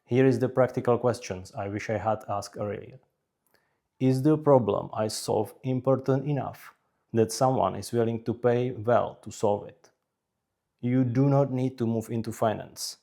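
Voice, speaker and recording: A man from the Czech Republic with a Czech accent, narrating scripted career advice in measured, deliberate short phrases. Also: medium pitch, creaky, warm tone. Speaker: career advice video creator.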